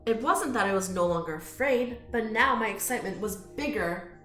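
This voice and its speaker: A woman reading a picture book aloud to children in an expressive, inviting way, with friendly chatty asides. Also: medium pitch, normal speed, faint music behind. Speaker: children's storytime reader. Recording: roomy echo.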